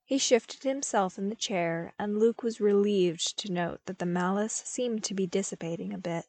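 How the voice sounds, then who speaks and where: A woman reading scripted fiction as an audiobook narrator, with expressive storytelling delivery. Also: mid-range voice, moderate pace.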